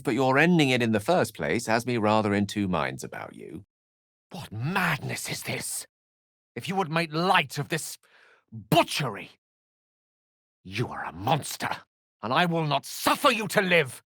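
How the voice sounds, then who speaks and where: An actor playing a learned, wry wizard adventurer in a video game, with a British accent and an eloquent, theatrical way of talking. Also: male voice, mid-range voice, wildly swooping pitch, normal speed, a bit bright, no room sound, clean studio sound. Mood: alarmed, indignant. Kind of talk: video game character voice acting.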